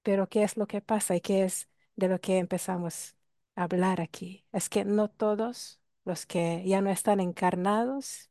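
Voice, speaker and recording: Hungarian-accented woman, low warm voice. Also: no room sound, clean studio sound. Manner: reflective, explanatory, with rhetorical questions. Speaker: workshop speaker. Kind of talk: talk on ancestral healing.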